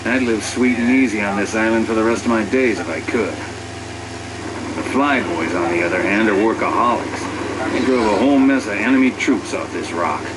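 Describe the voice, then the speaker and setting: An actor playing a wry, grumbling tank commander sergeant who narrates a game cutscene in slangy, sardonic words. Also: male voice, lowish voice, lightly acted. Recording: engine-like noise behind the voice.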